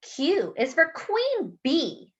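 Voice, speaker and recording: A woman reading a picture book aloud to young children, enunciating deliberately and adding playful asides as a story-time host. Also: very high voice, huge pitch swings, fairly bright tone, muffled recording.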